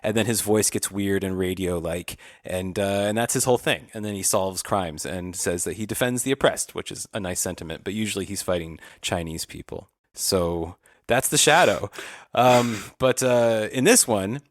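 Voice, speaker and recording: Guy podcasting, lowish voice, rambly casual recap, dry close sound. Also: moderate pace, few pauses, no echo. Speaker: podcast host.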